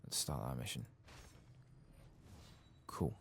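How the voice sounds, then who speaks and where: A soft-spoken man with a husky, gravelly voice, murmuring unhurriedly as he talks through a video game in ASMR style. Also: slow pace, a little hiss or hum.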